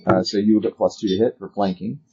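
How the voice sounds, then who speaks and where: A man's voice: on the low side, fairly even intonation, fast talker, gravelly, mellow, slightly rough, muffled recording. A man running a tabletop role-playing game, narrating the action and explaining rules conversationally. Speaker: game master.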